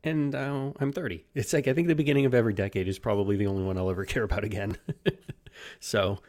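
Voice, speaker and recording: A man in his thirties co-hosts a relaxed podcast chat, low-voiced and rambling. He trips over his own sentences and chuckles between thoughts. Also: fast. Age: 30s. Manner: rambling, self-interrupting, chuckling. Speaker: podcast co-host. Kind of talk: casual chat.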